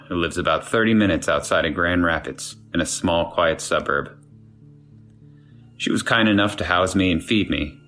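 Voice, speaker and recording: A man narrates a scripted creepy tale in the first person. His voice is notably low. Quiet music runs underneath the reading. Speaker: guest narrator reading a submitted scary story. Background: background music.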